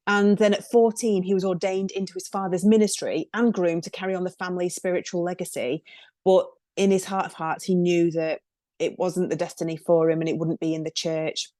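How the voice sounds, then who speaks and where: A woman's voice: on the low side, level tone, a little fast, mellow, no echo, clean studio sound. A woman hosting a podcast, introducing her guest in a chatty, enthusiastic way with lots of fillers. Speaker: podcast host.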